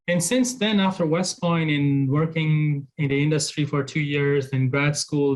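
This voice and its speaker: Halting man from Afghanistan, interview guest. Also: on the high side, poor audio. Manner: halting, many restarts and fillers. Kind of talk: casual interview.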